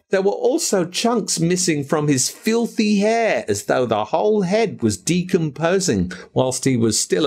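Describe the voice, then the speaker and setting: Slow, husky Australian storyteller man. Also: fairly high pitch. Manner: dramatic, drawn-out storybook reading. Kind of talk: reading a spooky children's story aloud.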